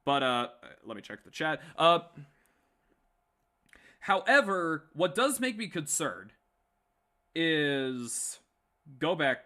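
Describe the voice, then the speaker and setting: A man's voice: fairly high pitch, big pitch swings, takes it slowly, pauses often, sharp tone. A man giving casual, rambling fan commentary as a livestream host, blunt and prone to swearing. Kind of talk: casual opinionated fan commentary to a live chat.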